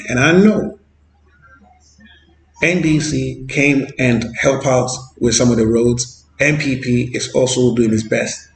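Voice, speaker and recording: A man with a Ghanaian English accent speaks earnestly to camera from a street, repeating words and pausing on fillers. His voice has a gravelly edge. The sound is roomy, with faint street ambience behind him. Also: mid-range voice, a little hiss or hum. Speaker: vlogger. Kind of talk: walking street vlog. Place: outdoors on a street.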